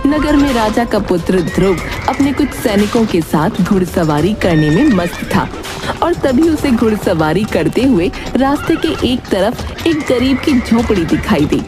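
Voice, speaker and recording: A woman's voice: medium pitch, big pitch swings, a little slow, over music. A woman narrator reading a scripted moral tale in a storytelling style.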